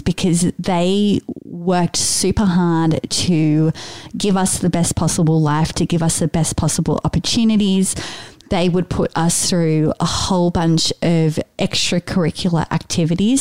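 A woman's voice: lowish voice, darkish tone, no echo. An Australian woman in her thirties chatting casually on a podcast as a host who is being interviewed. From Sydney, Australia. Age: 30s. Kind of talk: casual personal podcast conversation.

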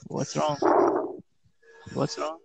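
A man's voice: fairly high pitch, sing-song, very slow, creaky, husky, dry recording. A man from India hosting a casual online voice call chat, often repeating himself over a bad line. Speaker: podcast host. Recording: dull lo-fi online call audio.

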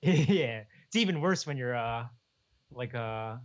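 A young man, co-hosting a podcast in relaxed, chuckling casual chat. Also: mid-range voice, slow pace. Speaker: podcast co-host. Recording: dull lo-fi podcast audio.

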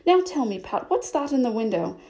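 A fussy, imperious male rabbit from a storybook snaps orders in a shrill, raised voice, put on by a woman reading aloud. The voice sits high and carries. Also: sing-song, fairly quick, mellow. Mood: angry. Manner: shrill, commanding.

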